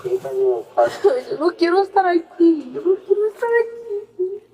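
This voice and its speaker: A woman's voice: very high-pitched, wildly swooping pitch, measured pace, warm tone, lo-fi sound. A young woman, a native Spanish speaker, arguing and pleading nervously while under arrest. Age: young adult. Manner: pleading, argumentative, switches to Spanish. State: nervous, tearful. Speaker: arrested driver.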